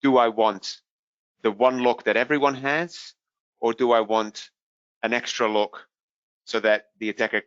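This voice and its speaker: A man's voice: mid-range voice, fairly even intonation, unhurried, pauses often, fairly bright tone, clear, resonant tone, no echo, dull audio. A man from the Netherlands with a Dutch accent, answering webinar questions with many fillers and restarts. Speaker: vendor product specialist.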